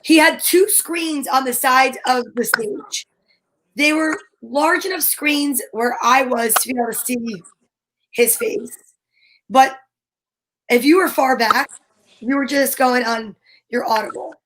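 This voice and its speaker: A woman talk show host chatting casually, telling stories in an animated, rambling way. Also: high-pitched, takes it slowly, noisy background. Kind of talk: casual chat.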